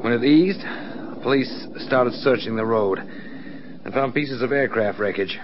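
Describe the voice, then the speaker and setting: An actor playing a dutiful, earnest air force control officer in a mid-century radio drama. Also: male voice, medium pitch, unhurried, close to an everyday voice. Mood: sober reporting. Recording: muffled, hissy vintage radio recording.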